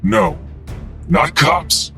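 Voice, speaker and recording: A man's voice: on the high side, very slow, creaky, sharp tone, faint music behind, full character voice. A man playing a tough, righteous young superhero vigilante in an audio drama, taunting and menacing. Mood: grim, taunting.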